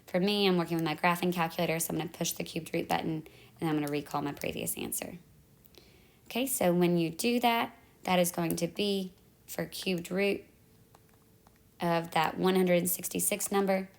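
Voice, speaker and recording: Female math teacher, 30s, low and gravelly, voice going hoarse, patient step-by-step tutorial delivery. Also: fairly even intonation, normal speed. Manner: talks through calculator steps, self-correcting. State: losing her voice. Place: at home in the evening.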